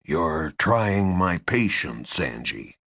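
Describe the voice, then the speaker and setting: A man playing a controlled, insistent interrogator in an audiobook, speaking in a measured, tight, carefully enunciated way. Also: low-pitched, sing-song, fairly bright tone, husky, dry recording, clean studio sound, exaggerated voice. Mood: tightly controlled. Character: controlled, insistent male interrogator with strained patience.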